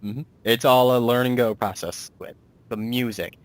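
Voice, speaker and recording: A man's voice: medium pitch, moderate pace. A man hosting a casual interview as a writer and producer, talking in a rambling, self-deprecating way.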